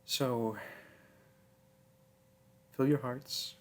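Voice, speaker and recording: A soft-spoken man giving a casual sign-off to listeners, earnest and a little hesitant. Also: mid-range voice, fairly even intonation, drawn-out words. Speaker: audio roleplay creator.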